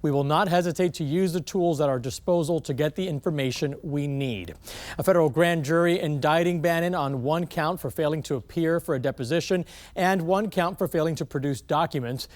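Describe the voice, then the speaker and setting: A man's voice: on the high side, brisk. An American man reading scripted news as an anchor. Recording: music bed under the voice.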